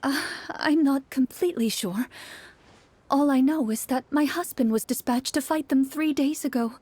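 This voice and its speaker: A woman voicing a troubled townswoman, a worried wife who speaks politely, softly and hesitantly. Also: sits high, moderate pace, voice pushed up, natural voice. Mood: anxious, wistful. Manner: hesitant, soft-spoken, polite.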